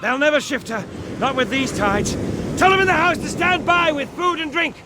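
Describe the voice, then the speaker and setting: Master of the house, radio drama: agitated, brisk, ordering people about, strained very high, clear outdoor wind noise. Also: male voice. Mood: urgent. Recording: loud wind and sea noise.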